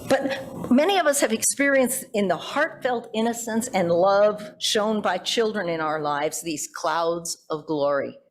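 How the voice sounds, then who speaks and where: A middle-aged or older woman, a pastor, preaching reflectively in measured phrases with deliberate pauses. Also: mid-range voice, expressive, a little hiss or hum. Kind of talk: sermon with poetry quotations.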